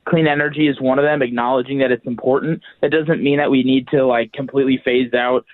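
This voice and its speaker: A man's voice: mid-range voice, fairly even intonation, fast talker, no echo. A young adult man with an American accent, giving a radio interview as an activist guest. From United States. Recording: thin, band-limited phone-like line.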